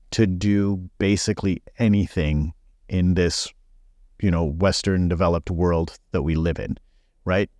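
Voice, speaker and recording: A man's voice: sits low, a little mellow, no room sound, clean studio sound. A man in his forties, born in Belgium, speaking frankly as a podcast guest with many fillers. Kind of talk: casual interview chat.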